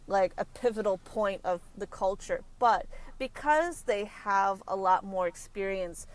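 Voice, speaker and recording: A woman's voice: mid-range voice, lively intonation. A woman career consultant talking in a casual podcast interview. She stops and corrects herself often as she speaks. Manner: halting, frequent self-corrections.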